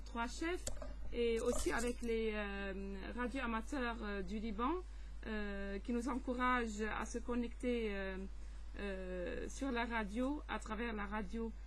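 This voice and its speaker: A woman's voice: a little high, slow, poor audio. A woman talks hesitantly as a scout making amateur radio contact, often searching for words. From Lebanon. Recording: faint background noise.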